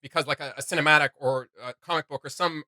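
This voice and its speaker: A man hosting a reaction video, chatting casually in an excitable, slangy, rambling way. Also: fairly high pitch, fast, no echo.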